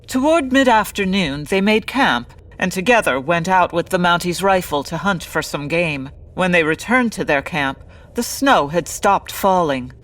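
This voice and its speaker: A somewhat low female voice with faint noise behind it. It is a grandiose, pompous radio-serial narrator, earnest and measured, with very lively dramatic intonation. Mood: grand, earnest.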